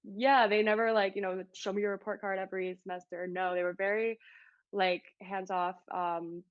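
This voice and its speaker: A woman in her twenties from Florida, a podcast guest, speaking in a thoughtful, relaxed way and laughing easily. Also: medium pitch, normal speed, no echo, highs cut off. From Florida, United States. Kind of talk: casual interview chat.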